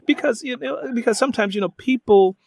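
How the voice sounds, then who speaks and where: A man's voice: very high-pitched, fairly quick, creaky, darkish tone, dry recording, clean studio sound. An American man hosting a conversational interview, putting questions in a wordy, circling way with repeated phrases. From United States.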